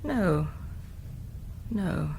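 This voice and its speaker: A British woman playing an intense, brooding Mother Superior in a radio drama, moving between command and hush. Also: lowish voice, very animated, very slow, creaky, a little hiss or hum, exaggerated voice, low-quality recording. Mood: secretly intent. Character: troubled, imperious Mother Superior of a convent.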